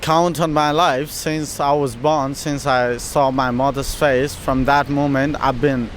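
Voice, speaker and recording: Calm man, unhurried and philosophical. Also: medium pitch, a little hiss or hum. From Nepal. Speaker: interviewee, chef. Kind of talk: casual street interview. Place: outdoors by a beach, traffic around.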